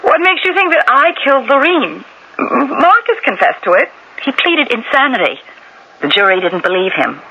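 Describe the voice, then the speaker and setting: An actress playing a guilty, defensive wife cornered for murder in a radio mystery drama, melodramatic and halting under pressure. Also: female voice, sits high, very animated, pushed and bright, breathy edge, muffled recording, exaggerated voice. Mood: defensive, agitated. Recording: noisy vintage radio recording.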